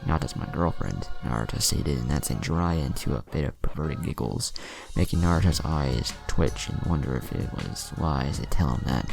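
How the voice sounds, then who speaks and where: A man's voice: a bass voice, normal speed, vocal fry, over music. A man narrating a scripted fan-fiction story.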